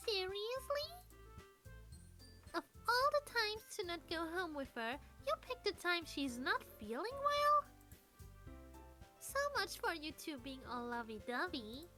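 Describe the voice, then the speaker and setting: A woman plays a brash teenage girl from a visual novel, with a high voice and lively swings in pitch. She is blunt and sarcastic, always ready to snap. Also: unhurried, pauses often, soft background music, exaggerated voice. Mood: irritated, scolding. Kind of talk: visual novel character dub.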